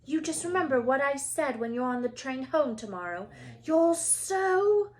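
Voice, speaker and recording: A woman voicing a bossy, indignant schoolgirl in a storybook, shrill and scolding. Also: animated, measured pace. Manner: scolding, insistent.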